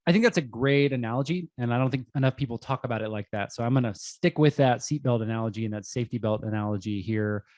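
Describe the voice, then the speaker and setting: A man hosts an interview in a friendly, measured way. His voice sits at an ordinary middle pitch, and the recording is dry, with no room sound. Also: darkish tone, dry recording. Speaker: host. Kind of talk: interview podcast.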